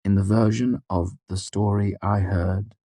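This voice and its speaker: A man voicing a proud, hot-tempered young man in an audiobook, shouting biting words. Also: low voice, flat delivery, measured pace, breathy edge, dry recording. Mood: grave.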